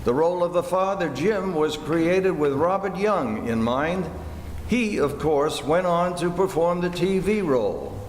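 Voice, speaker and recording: A man narrates a radio play from a script in a lively, rising and falling voice pitched on the high side. The hall echoes around him and there is some background noise. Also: measured pace. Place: indoor theater hall. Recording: noisy, echoey hall recording.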